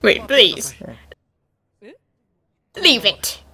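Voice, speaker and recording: A woman's voice: fairly high pitch, very animated, drawn-out words, bright, forceful tone, breathy edge, full character voice. A woman voicing a courteous, sincere male company president in a cartoon fan dub, heartfelt in manner. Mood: polite.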